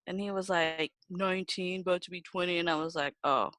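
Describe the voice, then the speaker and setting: A young woman co-hosting a podcast, telling stories in casual chat with animated delivery, laughing and swearing often. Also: on the low side, brisk, no echo, clean studio sound. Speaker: podcast co-host.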